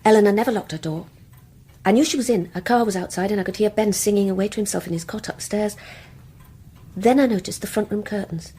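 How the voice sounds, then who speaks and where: An actress playing an anxious, guilt-ridden younger British woman in a radio play, confiding in a hushed, hesitant, breathy voice. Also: mid-range voice, fast, lightly acted. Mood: confessional. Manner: tense, hesitant, confessional. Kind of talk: radio play acting with intimate first-person narration.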